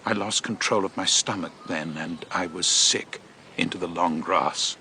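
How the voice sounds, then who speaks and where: An actor playing a shaken eyewitness messenger from ancient Greece in a radio drama, telling of horror in an intense, deliberate, theatrical monologue. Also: male voice, low-pitched, sharp tone, slight background noise, heavily acted. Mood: horrified, intense.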